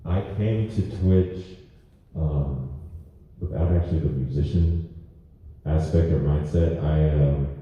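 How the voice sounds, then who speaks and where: A man with a very deep voice tells his story in a relaxed, hesitant way. He is picked up from a distance, the sound dull and awash in hall echo. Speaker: panelist, musician. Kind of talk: casual panel talk.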